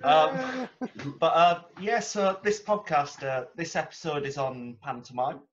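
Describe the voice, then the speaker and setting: A man's voice: on the high side, unhurried, lo-fi sound, a little hiss or hum. A man hosting a podcast, chatting informally and a little hesitantly with guests. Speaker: podcast host. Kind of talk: casual interview chat.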